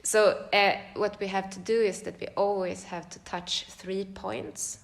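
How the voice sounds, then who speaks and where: A woman's voice: mid-range voice, level tone, a little slow, creaky. A woman from Sweden with a Swedish accent, a choreographer chatting as a podcast guest, thoughtful and searching for words with frequent laughter. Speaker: guest choreographer and dance teacher. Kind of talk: casual podcast conversation. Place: large room.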